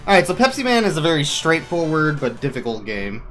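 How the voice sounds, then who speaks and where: A man commentates his own speedrun in a casual, live style, with game music clearly playing behind him. His asides are wry and playful, with a touch of mock drama. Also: on the high side, sing-song, a little fast, a bit bright.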